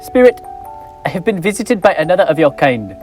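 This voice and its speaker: A man's voice: high voice, big pitch swings, brisk, darkish tone. Scared old miser guy pleading, young man acting it, voice jumping all over, some music faint behind. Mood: wary. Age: young adult. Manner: theatrical, strained, pleading cries. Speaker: student actor. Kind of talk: high school stage play.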